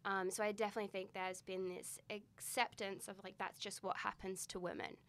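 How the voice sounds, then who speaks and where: A woman in her thirties with a softened Australian accent, a podcast guest chatting in an upbeat, self-deprecating way. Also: medium pitch, level tone, fairly quick. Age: 30s. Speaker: guest, photographer. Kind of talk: casual conversation. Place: small studio indoors.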